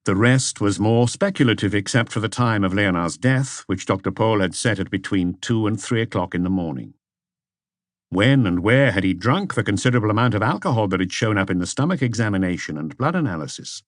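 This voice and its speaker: A man narrates a mystery audiobook in a fairly low voice. The recording is close and dry, with very little room around the words. He reads from a script as a storyteller. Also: expressive, a little fast, dry recording. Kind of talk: scripted narration of a detective novel.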